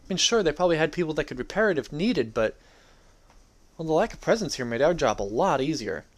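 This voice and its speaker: A man's voice: fairly high pitch, big pitch swings, quick pace. A young adult man giving a dramatic reading of his own first-person story.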